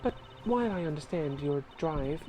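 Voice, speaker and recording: A woman's voice: low-pitched, lively intonation, moderate pace, dull audio, background noise. A woman voicing a stern female headmistress who talks in a clipped, commanding way. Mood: cold.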